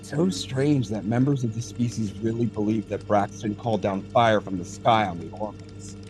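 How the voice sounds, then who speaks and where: An actor playing an experienced, thoughtful male alien data center technician in an audio drama, explaining things in a measured way. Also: on the low side, over music, natural voice, low-quality recording. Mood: reflective.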